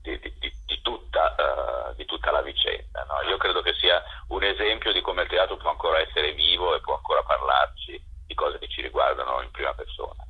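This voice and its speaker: Low husky man on a phone line. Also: a little fast, few pauses. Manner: hesitant, repeats words while searching. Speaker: theatre co-director, guest. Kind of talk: radio interview by phone. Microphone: telephone.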